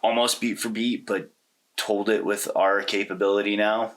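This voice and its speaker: Low voiced guy, kinda bright and punchy sound, casual podcast co-host chat, slangy. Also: flat delivery, normal speed. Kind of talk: casual conversational movie discussion.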